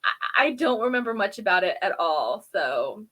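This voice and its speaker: A woman's voice: mid-range voice, big pitch swings, normal speed, bright, forceful tone. A woman co-hosting a casual review, chatting informally with a friend in a giggly, rambling way. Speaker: co-host of a TV episode review.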